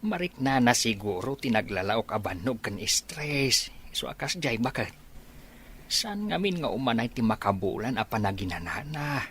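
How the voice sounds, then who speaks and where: An actor playing a concerned old village father in an Ilocano radio drama, with an Ilocano accent and a forceful delivery. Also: mid-range voice, big pitch swings, moderate pace, slight background noise.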